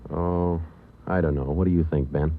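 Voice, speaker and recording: An actor playing a terse male police sergeant who narrates the case in a clipped, deadpan way, in 1940s radio acting. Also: rumbling low, normal speed. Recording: tinny old radio broadcast with music.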